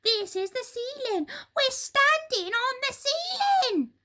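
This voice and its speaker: A nasty, excitable wife from a children's story. She is shrill and sharp, far above an everyday speaking pitch. Her delivery is loud, dramatic and screechy. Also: slow, full character voice. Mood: panicked. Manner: screaming, scornful, dramatic.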